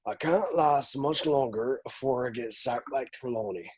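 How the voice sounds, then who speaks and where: A man playing a gruff, soft-hearted half-giant gamekeeper in a script read, folksy and protective. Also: mid-range voice, normal speed, natural voice. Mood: gruff, earnest. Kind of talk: table read of a film script.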